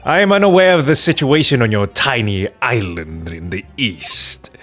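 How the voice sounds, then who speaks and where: A haughty prosecutor from a courtroom game , played by a man. His voice sits low, and he speaks with formal, sardonic condescension. Faint music plays behind him. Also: fairly bright tone. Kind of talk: dramatic video game dub.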